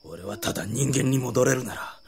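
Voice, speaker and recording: A man voicing a fierce, tormented werewolf in a Japanese anime-style audio drama, with a gruff, brooding delivery. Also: medium pitch, lively intonation, normal speed, darkish tone. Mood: hostile. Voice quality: gravelly.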